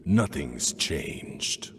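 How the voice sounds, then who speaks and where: Grim game cynic: extremely deep gravel, slow pessimistic delivery, reverberant. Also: male voice, lively intonation, heavily acted. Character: grim, cynical male bearer of bad news. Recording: long reverb with faint noise.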